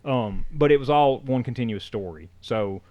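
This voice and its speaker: A man's voice: mid-range voice, lively intonation, normal speed, warm tone, highs cut off. A man co-hosting a casual podcast, talking enthusiastically about comics and games, rambling and correcting himself as he goes. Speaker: podcast co-host.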